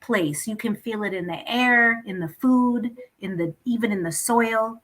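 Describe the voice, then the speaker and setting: A woman from Ethiopia, a singer guest, speaking warmly and reflectively in a casual interview over a video call. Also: on the high side, a little slow. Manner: warm, reflective, laughs easily.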